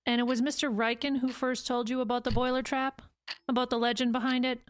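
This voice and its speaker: An actress playing a skeptical psychologist in an audio drama, with a measured, clinical, questioning manner. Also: female voice, a little high, little pitch movement, dry recording, lightly acted. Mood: probing, cool. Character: cool, probing female psychologist, measured and skeptical. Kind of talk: radio drama acting.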